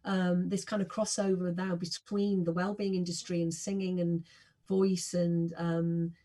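A woman's voice: fairly low pitch, flat delivery, unhurried, dark tone. A woman of sixty, a singer and podcast guest, chatting enthusiastically and with self-deprecating humour. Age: 60s. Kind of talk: casual interview chat.

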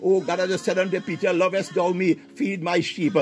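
High-voiced man in fervent prayer over music. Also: level tone, fairly quick. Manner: impassioned, punctuated with repeated exclamations of praise. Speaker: preacher leading a morning prayer.

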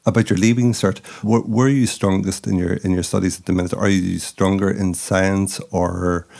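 A man's voice: low voice, little pitch movement, moderate pace, vocal fry, a little mellow. A middle-aged man hosting a casual interview, rambling and thinking aloud with many restarts. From not from the Republic of Ireland. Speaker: podcast host.

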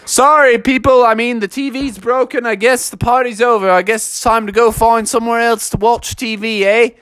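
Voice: mid-range voice, steady tone, moderate pace, fairly bright tone, no echo, natural voice. An adult storyteller voicing a mild, apologetic father frog in a children's story.

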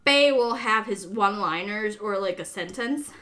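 A woman's voice: medium pitch, lively intonation, normal speed, sharp tone. Chatty woman podcast host, English second language. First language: not English. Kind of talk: casual podcast chat.

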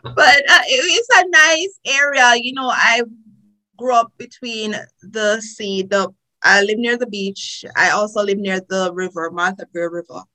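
A woman with a Jamaican accent speaks as a podcast guest. Her voice is bright and forward. She tells her story in a loose, chatty way, full of little fillers. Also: mid-range voice, steady tone, a little slow, rough recording. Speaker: guest, tax lawyer. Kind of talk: podcast interview.

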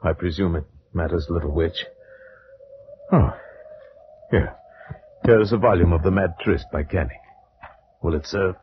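Gothic horror narrator, low voice, formal and theatrical, anxious bookish gentleman, muffled 1940s radio drama with music behind. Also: a little slow, long pauses, a little hiss or hum. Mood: uneasy, soothing. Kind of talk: old-time radio drama acting, literary narration.

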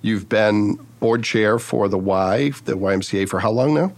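Male podcast host with a lower voice, encouraging interviewer who affirms often. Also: moderate pace. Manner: friendly, encouraging, frequent affirmations.

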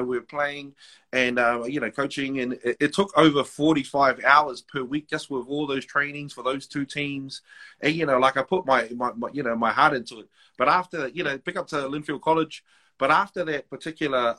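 A man from Wellington with a New Zealand accent, telling stories in casual podcast chat, animated and full of restarts. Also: medium pitch. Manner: animated storytelling, many restarts, slips into quoted voices. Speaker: guest, rapper and basketball MC.

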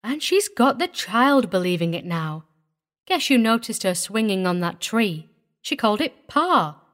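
A kindly, composed lady social worker from a storybook, played by a woman narrator. She speaks gently and knowingly, with an easy, unhurried assurance. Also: mid-range voice, sing-song. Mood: cheerful, knowing. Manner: gentle, cheerful, careless drawl.

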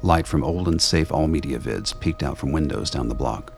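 A man's voice: extremely low pitch, normal speed, vocal fry, faint music behind. A man narrating a radio play in a scripted, noir-like storytelling style.

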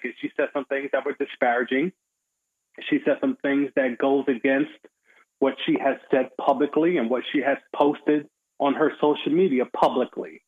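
A man giving opinionated, measured sports commentary as a guest, in colloquial conversational phrasing, over a tinny phone-like line. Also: medium pitch.

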